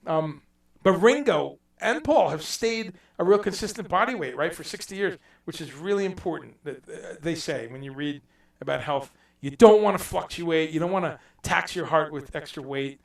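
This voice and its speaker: Male livestream host, chatty and rambling, raised gravelly voice, echoey room with the mic a bit far off. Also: fairly high pitch, animated, moderate pace, hardly any pauses. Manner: rambling, reads out viewer comments, swears casually. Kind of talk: casual livestream chat.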